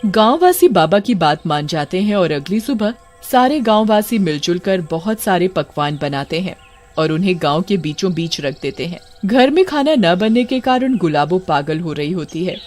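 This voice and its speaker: A narrator telling a cartoon folk tale in a steady, matter-of-fact storybook manner. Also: mid-range voice, moderate pace, soft background music.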